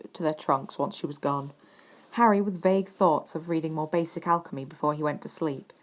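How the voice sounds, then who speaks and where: A woman reading scripted fiction aloud as the narrator of an audio story. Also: sits low. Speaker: podfic narrator.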